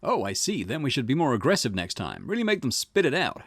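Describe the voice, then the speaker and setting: An eager junior detective from a visual novel , acted out by a man, earnest and full of questions. The voice is lively in pitch and deferential, recorded close and dry. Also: fast talker, no echo. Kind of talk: reading visual novel dialogue in character.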